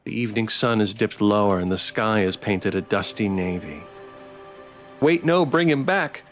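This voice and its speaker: A man live-streaming a story game, switching between casual, playful, halting chat with viewers and reading narration aloud. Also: fairly low pitch, animated, a bit bright. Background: background music.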